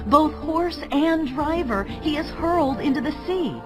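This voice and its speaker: A woman's voice: high-pitched, unhurried, over music. A woman playing Miriam, a jubilant prophetess exultantly proclaiming praise in a dramatized scripture.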